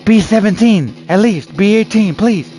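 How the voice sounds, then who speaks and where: A man around thirty with a voice pitched a little high, chatting casually with online friends during a game. He keeps it loose and teasing, tossing out "dude" and "bro" and the odd swear word. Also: animated, a little slow, warm tone, faint music behind. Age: 30s. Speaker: gaming streamer.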